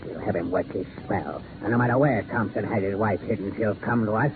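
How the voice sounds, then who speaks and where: An actor playing a squat, frog-faced gangster villain, obsessed and vengeful, who talks with gloating, deliberate menace in 1940s radio style. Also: male voice, on the low side, natural voice. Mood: sly, gloating. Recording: noisy narrow-band 1940s radio recording.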